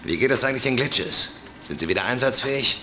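A man's voice: mid-range voice, expressive, quick pace, background noise, close to an everyday voice. An actor playing an eager, cheeky young hacker henchman in a children's radio play. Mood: chatty, smug.

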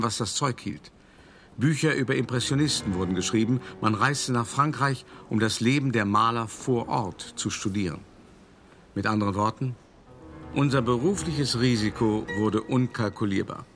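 A man's voice: mid-range voice, moderate pace, fairly bright tone, lightly acted. An actor playing a worldly, cultured older art dealer who narrates his memories in a radio play, conversational and wry, addressing the listener directly. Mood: confiding. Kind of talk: first-person radio play monologue. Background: music.

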